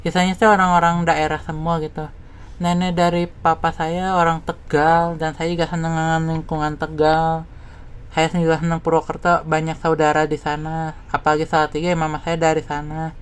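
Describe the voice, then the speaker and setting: A man's voice: fairly high pitch, completely flat delivery, moderate pace, clean tone. A man from West Java giving a casual, rambling, informal personal monologue as a content creator. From West Java, Indonesia.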